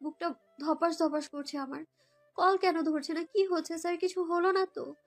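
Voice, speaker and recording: A woman's voice: extremely high pitch, fairly even intonation, normal speed. A young woman narrates a romantic story in the first person, in an emotive, girlish way, as if living it. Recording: background music under voice.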